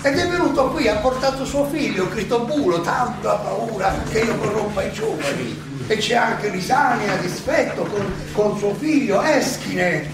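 A man acting an older, proud and ironic philosopher defending himself at trial in a halting, rhetorical stage monologue. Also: high-pitched, loud music behind the voice. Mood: earnest, recalling. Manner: halting, rhetorical questioning with dramatic pauses and emphatic swells. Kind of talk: theatrical stage monologue, courtroom oration. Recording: very echoey distant stage recording, noisy.

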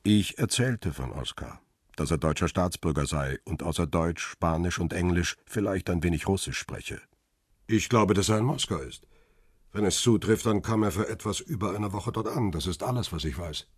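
An actor playing a brooding middle-aged paparazzo out for revenge, narrating a radio crime drama in a dry, matter-of-fact way. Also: male voice, low-pitched, moderate pace, slightly rough, no echo. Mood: reflective, dry.

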